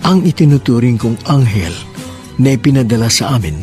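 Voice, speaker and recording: A man's voice: mid-range voice, very animated, fairly quick, music in the background. A man hosting a radio drama program, reading poetic lines and a listener's letter in a solemn narrating style.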